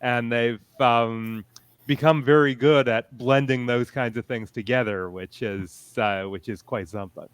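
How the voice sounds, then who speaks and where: A man speaking as a panelist, explaining things in a measured, thoughtful, casual way. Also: mid-range voice, fairly even intonation, smooth, no room sound. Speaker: convention panelist.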